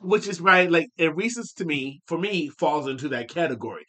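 A man's voice: fairly high pitch, normal speed, fairly bright tone. A man co-hosting a podcast, rambling loosely in casual chat with lots of restarts. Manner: rambling, many fillers and restarts. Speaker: podcast co-host.

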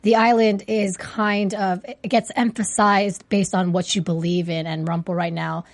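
A woman chats enthusiastically on a fan panel about a TV show. She gushes and often breaks off mid-phrase. Her pitch sits in the middle range. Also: level tone, a little slow. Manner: enthusiastic, gushing, talks in fragments. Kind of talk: casual panel chat.

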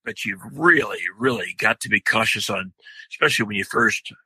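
An older man with a deep, loud voice, talking slowly in a podcast interview with many pauses and restarts. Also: lively intonation, vocal fry, breathy edge, dry recording. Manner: slow, halting, many fillers and restarts. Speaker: guest, outdoor writer.

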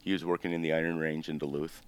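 A man in his fifties with an extremely low, rumbling voice, born in Greece and raised in the States. He tells his family story in a loose, meandering way, full of ums and restarts, as a guest being interviewed. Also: quick pace, clean tone. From born in Greece, raised in the United States. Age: 50s. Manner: relaxed, rambling, with frequent ums and self-corrections.

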